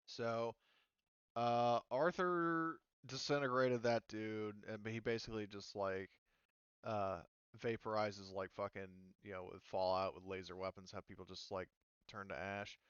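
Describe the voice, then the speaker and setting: Low-voiced man, clear, halting game master. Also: dry recording, dull audio. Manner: casual, halting, thinking aloud. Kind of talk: running a tabletop roleplaying game.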